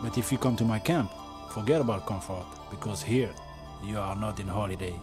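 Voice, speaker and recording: A man's voice: on the low side, a little fast. A man in his forties with a non-native English accent, a coach giving scripted documentary narration. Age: 40s. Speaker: dunk training camp coach. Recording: music behind the voice. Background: music.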